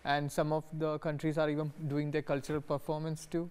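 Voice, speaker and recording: Hesitant young man from India, flat voice. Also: a little high, fairly quick, clear, resonant tone. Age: young adult. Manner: hesitant, many "uh" and "like" fillers. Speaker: student guest. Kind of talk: TV studio interview. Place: TV news studio.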